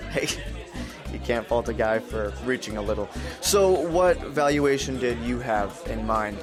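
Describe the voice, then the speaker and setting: Man acting a young male tech founder, cocky, volatile, combative, mid-pitched, audio drama, music behind. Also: animated, measured pace, a crowd in the background, lightly acted. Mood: cocky, bargaining. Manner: cocky salesman patter, combative. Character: pushy, volatile young male startup founder turned gunman.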